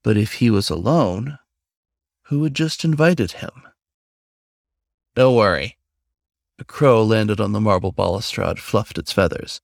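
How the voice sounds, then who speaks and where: A man's voice: fairly low pitch, moderate pace, vocal fry, dry recording, clean studio sound. A man narrating a scripted short story as its author. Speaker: author reading own story.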